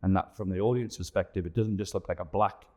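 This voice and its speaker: Low-voiced man. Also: fairly even intonation, fast, a little mellow. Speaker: pantomime director and producer being interviewed. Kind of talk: casual interview chat.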